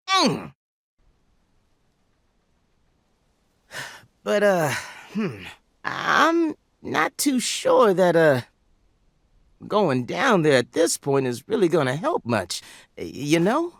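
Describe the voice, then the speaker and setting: A man's voice: high voice, huge pitch swings, slow, creaky, a bit bright, no echo, clean studio sound, full character voice. A man playing a folksy, jovial small-town sheriff in a video game, with a rambling Southern US drawl. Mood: hesitant.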